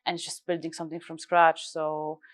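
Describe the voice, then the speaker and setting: A woman in her thirties, originally from Lebanon, answers questions at a live industry event. Her voice sits a little low, and she talks fluently and explains in detail, with a few light fillers. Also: completely flat delivery, moderate pace. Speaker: guest speaker, research director. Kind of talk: live panel interview.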